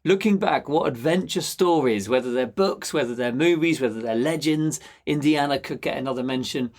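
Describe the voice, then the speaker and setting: A man's voice: mid-range voice, normal speed. A man hosting a podcast, speaking in an enthusiastic, encouraging manner. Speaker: podcast host. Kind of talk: interviewing and scripted sign-off.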